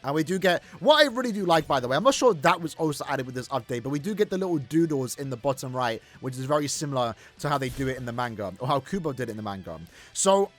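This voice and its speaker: A man's voice: mid-range voice, sing-song, fast, few pauses. A man hosting a gaming video, commentating casually on gameplay with music behind his voice.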